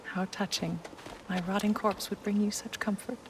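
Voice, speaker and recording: An actress playing a calm, wise wife in a dramatic video game, speaking in a measured, gently wry way. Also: female voice, mid-range voice, a little hiss or hum, close to an everyday voice, low-quality recording. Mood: wry, affectionate. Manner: measured, tender, dryly teasing.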